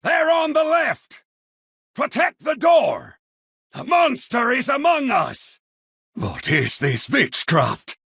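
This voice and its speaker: An actor playing a brash, blustering Viking warrior with a raspy, gravelly voice in a video game. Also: male voice, huge pitch swings, takes it slowly, a bit bright, no room sound, shouting, studio quality, full character voice. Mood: grim, annoyed. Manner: booming, blustering battle cries. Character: bellowing, brash Viking warrior defending a castle. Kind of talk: video game character voice lines.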